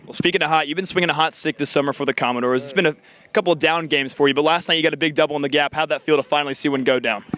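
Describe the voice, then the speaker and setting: Fast-talking, higher-pitched young male sportscaster. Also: steady tone, sharp tone. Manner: polished, fluent broadcast delivery. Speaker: sports broadcaster, interviewer and sideline reporter. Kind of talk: upbeat sports interview and sideline report.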